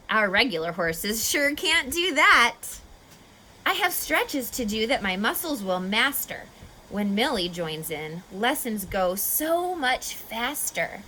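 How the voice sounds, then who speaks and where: A woman reading a picture book aloud to children like a teacher, expressively, pausing to explain and ask questions. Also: a little high, a little hiss or hum, low-quality recording. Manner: expressive, instructive, asking questions of young listeners.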